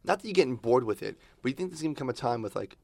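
A man's voice: mid-range voice, expressive, racing, creaky, darkish tone, dry recording. A man hosting a studio chat show, joking, teasing his guests and swearing freely. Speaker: podcast host. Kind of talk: casual chat.

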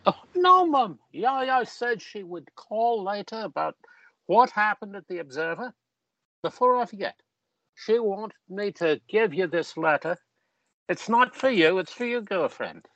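Guy doing a jokey big brother, lively pitch, gravelly, dry close mic. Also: a little slow, dry recording, highs cut off, full character voice. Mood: teasing. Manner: teasing, jokey banter. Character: Michael, joking eldest brother, cheeky. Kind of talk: amateur audio drama acting.

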